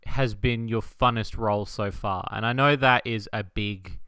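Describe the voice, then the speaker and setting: A man's voice: lowish voice, fairly even intonation, measured pace, pushed and bright, clear, resonant tone, dry recording, studio quality. A man hosting a casual interview, feeling his way through sentences and repeating words as he thinks. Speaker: interviewer, podcast host.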